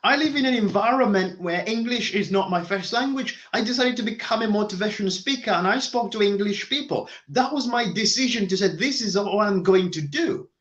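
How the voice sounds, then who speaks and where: A man's voice: sits high, a little fast, few pauses, fairly bright tone, lo-fi sound. A man giving a motivational talk, speaking emphatically and repeating his key phrases.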